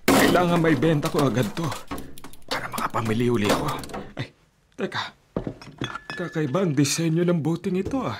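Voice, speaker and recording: An actor playing a poor, kindly, middle-aged father who collects scrap, in an excitable Filipino radio drama style. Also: fairly high pitch, normal speed, long pauses, background noise, close to an everyday voice. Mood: curious, amazed. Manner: apologetic with his child, wide-eyed and incredulous at wonders.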